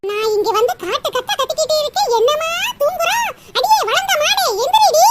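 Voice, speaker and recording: A woman's voice: extremely high pitch, expressive, fast. A woman playing an older, sarcastic, nagging but doting village mother-in-law in a family comedy skit, with a Tamil Nadu village accent. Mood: scolding, sarcastic. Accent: Tamil Nadu village dialect. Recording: clearly noisy recording with clicks.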